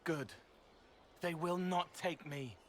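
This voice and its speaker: An actor playing a fearful young male spirit who speaks in halting, broken phrases. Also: on the high side, a little hiss or hum. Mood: resolved. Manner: fragmented, breathless phrasing.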